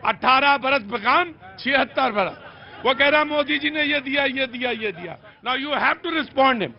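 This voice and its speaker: A male TV reporter hosting a roving street news show, briskly moderating and cutting people off. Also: extremely high pitch, lively intonation. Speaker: TV reporter host. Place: outdoor street crowd. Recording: dull outdoor audio with crowd. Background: faint crowd.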